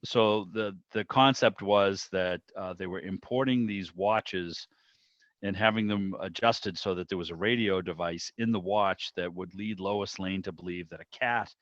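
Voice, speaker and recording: Low-voiced man, slightly dull audio. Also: measured pace, no echo. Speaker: club meeting host. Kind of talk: casual animated chat. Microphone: video call.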